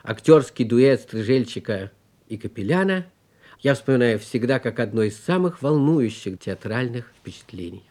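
Мужчина-ведущий радиопередачи, средний по высоте голос. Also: male voice, mid-range voice, expressive, moderate pace, darkish tone. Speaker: radio programme presenter reminiscing about actors. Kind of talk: reminiscing broadcast narration.

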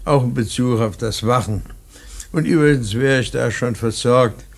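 Creaky-voiced, grave older male professor. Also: mid-range voice, normal speed. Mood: weary, composed. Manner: terse, questioning. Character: grave, brooding older professor, quietly commanding. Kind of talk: old German radio drama acting.

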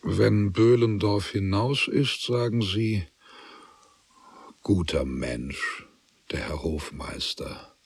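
A man narrating a literary German radio drama in measured, fragmentary phrases. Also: on the low side, breathy edge, no echo.

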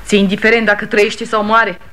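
A woman's voice: mid-range voice, little pitch movement, fairly bright tone, natural voice. An actress playing a middle-aged mother in a 1960s radio drama, giving cold, stern commands.

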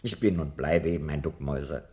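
An actor playing a timid, anxious old literature professor in a 1970s German radio play. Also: male voice, rumbling low, a little fast, mellow. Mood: earnest. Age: older.

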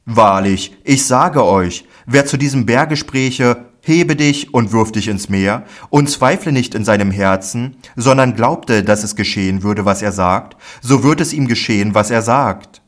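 A man voicing a calm, authoritative male teacher in a scripture reading, solemn and measured. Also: mid-range voice, lightly acted. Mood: earnest.

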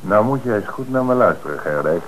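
An actor playing a calm, methodical police commissioner in an old radio play, speaking in a measured, firm way. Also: male voice, fairly low pitch, a little hiss or hum, close to an everyday voice, poor audio. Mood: stern. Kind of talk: old Dutch radio drama acting.

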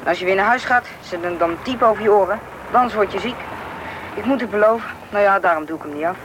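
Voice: mid-range voice, fast, close to an everyday voice. A young male performer playing a cautious, obedient schoolboy in a radio drama. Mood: earnest, chatty. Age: young teen. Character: cautious schoolboy friend, obedient to his grandmother. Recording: radio play with noisy outdoor ambience.

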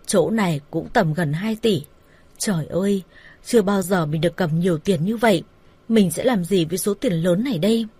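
A woman's voice: fairly low pitch, moderate pace, warm tone, breathy edge, no echo. A woman voicing a greedy, abusive young man with a harsh manner in an audio story. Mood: greedy wonder. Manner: harsh, contemptuous.

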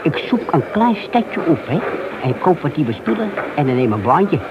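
An actor playing a wheedling, hesitant petty crook and police informer in a radio play. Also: male voice, on the high side, sing-song, moderate pace. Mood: grateful, servile. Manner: hesitant, evasive, servile to his handler. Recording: dull, noisy old radio drama recording.

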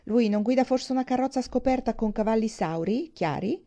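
A pushy, boastful young gentleman from a storybook, acted out by a woman narrator. He blusters and gives orders emphatically, pitched up with excitement. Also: fairly quick. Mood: insistent. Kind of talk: audiobook character dialogue.

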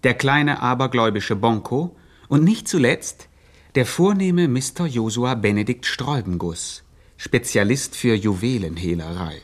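A man's voice: medium pitch, expressive, measured pace. A man narrating a satirical radio crime comedy from a script.

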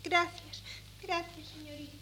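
A woman's voice: very high-pitched, fairly even intonation, unhurried, pushed and bright, heavily acted. An actress playing a shy, flustered young housemaid in a 1970s Spanish radio comedy, timid and deferential, stumbling over her words. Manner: stammering, repetitive, timid. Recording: noisy 1970s cassette.

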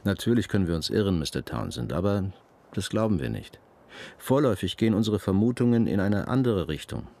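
A seasoned detective in a radio drama, lowish voice, calm and polite, careful with his words. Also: creaky, exaggerated voice. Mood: calm, assured. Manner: polite, deliberate, reassuring. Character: calm, considerate, experienced male police detective. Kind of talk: naturalistic radio crime drama acting.

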